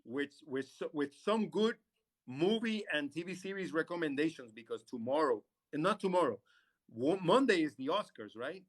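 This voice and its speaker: Animated, joking young man host. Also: a little high, moderate pace. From Puerto Rico. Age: 20s. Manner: playful, teasing, jokey. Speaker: podcast co-host. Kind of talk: casual live-stream chat.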